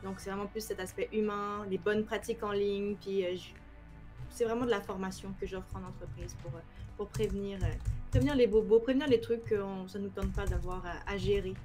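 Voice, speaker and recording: A woman in her thirties from France, with a French accent and some Quebec expressions, explaining her work in a casual video-call interview with lively hand gestures. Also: medium pitch, a little fast, over music. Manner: animated, explains with many examples, gestures with hands. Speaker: cybersecurity trainer, guest. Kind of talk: casual interview on a live stream. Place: home room, indoors.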